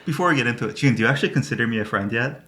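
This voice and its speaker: A man co-hosting a casual chat podcast, with a bright, forceful tone. He sounds relaxed and teasing and laughs easily, and the room gives his voice a noticeable echo. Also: medium pitch, rapid-fire. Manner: easygoing, laughs often, teasing. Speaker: podcast co-host. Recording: roomy echo.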